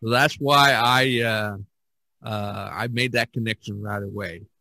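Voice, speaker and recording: A man hosting a podcast, talking casually in a halting, meandering way. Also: lowish voice, fairly even intonation, creaky, pushed and bright, dry recording. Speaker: podcast host.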